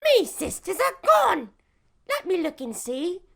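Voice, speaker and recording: A woman's voice: very high-pitched, very animated, slow, creaky, voice pushed up, exaggerated voice. A woman voicing an eager, naive young male fox cub in a rhyming folk tale. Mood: eager, confident.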